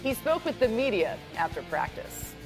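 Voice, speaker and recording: A woman hosting a sports talk show in a lively, playful way, reading recaps and throwing to analysts. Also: a little high, normal speed, music in the background, rough recording. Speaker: host. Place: TV studio.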